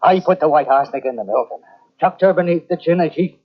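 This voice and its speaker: A man's voice: on the high side, lively intonation, fast. An actor playing a man who bluntly confesses to poisoning his wife, in 1950s radio acting. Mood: unrepentant. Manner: blunt, unrepentant. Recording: muffled old radio broadcast.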